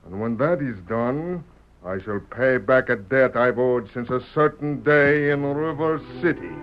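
A man's voice: mid-range voice, measured pace, faint music behind, lightly acted. Guy doing a smug bandit boss in an old radio western, sardonic and bossy, muffled sound. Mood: scheming, commanding. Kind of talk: old-time radio western villain acting. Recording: dull vintage radio recording.

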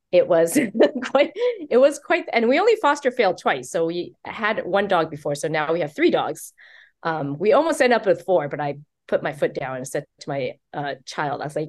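A middle-aged woman from Taiwan, an author being interviewed, chatting casually and acting out the quotes in her stories with excitement. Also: low voice. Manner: animated, excited storyteller who acts out quotes. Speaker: author and guest.

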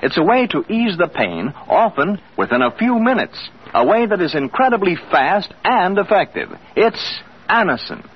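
A male mid-century radio announcer delivers a polished, emphatic sales read. His voice is high and very animated, on a muffled broadcast recording. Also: moderate pace, few pauses, a little hiss or hum. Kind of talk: 1940s-50s radio ad announcing.

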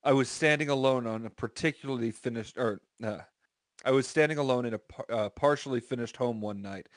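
Male American podcast host, mid-range pitch, comic read-aloud with exasperated asides, close dry sound. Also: no echo. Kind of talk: comic dramatic reading with casual asides.

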